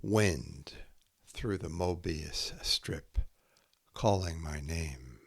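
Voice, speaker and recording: A man reading short poems aloud in a measured way, setting each brief phrase apart with pauses. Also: very deep voice, lively intonation, vocal fry, slightly rough. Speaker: podcast host reading poetry aloud. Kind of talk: poetry reading, haiku recited line by line.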